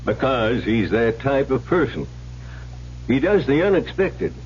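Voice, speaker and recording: An actor playing a folksy, shrewd and confident country sheriff in an old-time radio drama. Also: male voice, medium pitch, sing-song, a little slow. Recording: muffled, noisy old radio recording.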